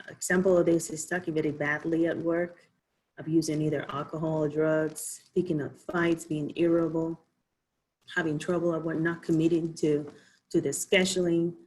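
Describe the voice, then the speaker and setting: A woman physician from the Dominican Republic explains a health topic to an online audience over a video call. Her voice sits low and sounds husky. She talks in a halting way, full of fillers and restarted phrases. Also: a little mellow, rough recording. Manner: hesitant, many ums and restarts. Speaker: physician giving a health talk. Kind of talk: informal online presentation.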